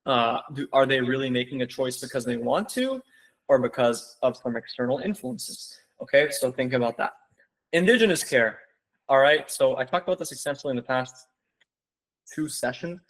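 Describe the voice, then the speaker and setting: A man's voice: medium pitch, normal speed, a bit bright, low-quality recording. Young man rambling through a webinar. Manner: rambling, many fillers like "like" and "you know"; addresses viewers as "guys". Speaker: webinar presenter. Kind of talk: casual online seminar talk.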